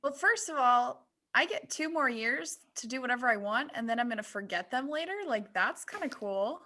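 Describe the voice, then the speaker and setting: A woman co-hosting a podcast in relaxed, casual group conversation. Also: on the high side, normal speed, a little hiss or hum. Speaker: podcast co-host.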